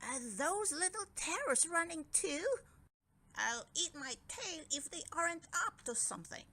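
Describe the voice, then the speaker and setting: A woman's voice: very high voice, expressive, measured pace, bright, forceful tone, slight background noise, pitched above the performer's own voice, full character voice. Storybook dragon racer, acted by a woman: wary, grumbly mutterer who suspects foul play. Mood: anxious, suspicious. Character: grumbling, suspicious dragon chariot driver. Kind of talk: children's storybook character voice.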